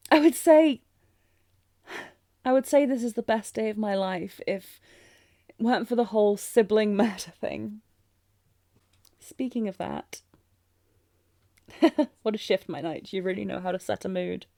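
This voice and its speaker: A woman's voice: on the high side, warm tone, no room sound, clean studio sound, close to an everyday voice. A woman playing a refined, earnest young fantasy princess in a romantic audio roleplay, speaking with theatrical exclamations and genteel, old-fashioned phrasing. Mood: emotional yearning, then puzzled, wary.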